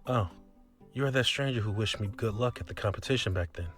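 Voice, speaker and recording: A man's voice: fairly low pitch, brisk, faint music behind, close to an everyday voice. An actor playing a mild, polite young male gamer with an understated manner in a visual novel game.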